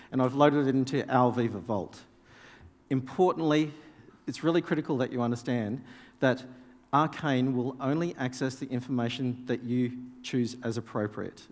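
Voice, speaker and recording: A man whose first language is Danish walks a conference audience through a live software demo, speaking casually and turning to the room with questions. His voice sits at an ordinary middle pitch and carries the long reverb of a big hall. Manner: casual, explanatory, addresses audience directly. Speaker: conference presenter.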